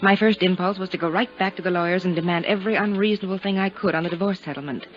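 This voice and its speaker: Woman acting a cool, low-voiced widow. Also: fairly even intonation, racing, close to an everyday voice. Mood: measured, defensive. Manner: poised, dry, evasive. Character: cool, proud, guarded widow. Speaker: radio drama actress. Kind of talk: 1950s radio drama acting. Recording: dull 1950s radio recording, faint music.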